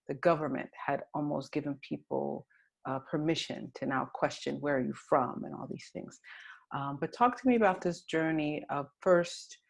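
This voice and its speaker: Gravelly-voiced woman interviewer. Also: sits low. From Sudan. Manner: thoughtful, with many fillers and "you know"s. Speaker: interviewer, filmmaker. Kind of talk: festival Q&A conversation.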